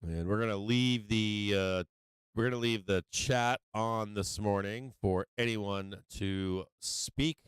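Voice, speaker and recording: Low, smooth-voiced man hosting a livestream. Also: slow, no room sound. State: voice starting to go. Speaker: livestream show host. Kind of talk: casual livestream chat to viewers.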